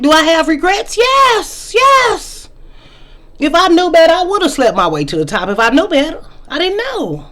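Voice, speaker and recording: Thirties woman, solo commentary host, preachy and emphatic. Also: mid-range voice. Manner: preachy, emphatic, repetitive lecturing. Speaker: vlog host. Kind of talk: opinionated commentary monologue.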